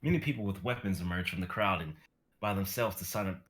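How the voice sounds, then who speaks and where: A man with a low voice narrates a script. He reads out stage directions as part of a dramatic reading. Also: quick pace, fairly bright tone.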